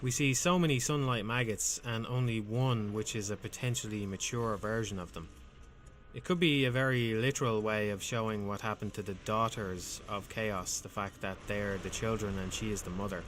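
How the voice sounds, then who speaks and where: A man reading scripted game commentary in a calm, measured, dryly wry way with a soothing voice. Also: on the low side, a little hiss or hum.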